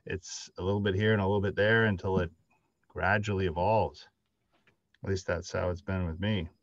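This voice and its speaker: A middle-aged man musing in a halting, casual way as a panelist on a video call. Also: sits low, steady tone, no room sound. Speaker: panelist, audio drama writer. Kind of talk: casual reflective panel talk. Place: small room, indoors, on a video call. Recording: dull lo-fi video call audio.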